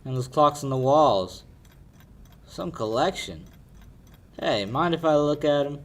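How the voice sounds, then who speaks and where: A young actor playing a sardonic, pushy lawyer in a 1940s-style radio detective play. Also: male voice, a little high, lively intonation, measured pace. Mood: cool, accusing. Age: young adult. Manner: wisecracking, hard-boiled. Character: sardonic, pushy male lawyer turned investigator. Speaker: high school actor.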